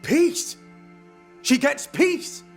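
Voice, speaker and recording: A woman's voice: high voice, extremely slow, creaky, exaggerated voice. An actress playing an indignant, wronged and bitter woman in a video game drama. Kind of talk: video game cutscene acting. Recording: prominent music underneath.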